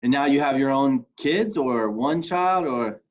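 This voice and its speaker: A man with a voice of ordinary pitch hosts an interview for a class. He asks loose, friendly questions that often start over. The audio is dull and lo-fi. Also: animated. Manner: friendly, informal, halting questions.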